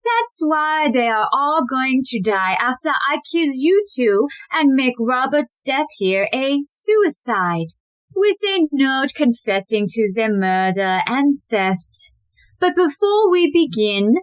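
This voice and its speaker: A woman's voice: sits high, sing-song, slow, dry recording, full character voice. A woman playing a cold, menacing, commanding society wife turned murderer in an audio play.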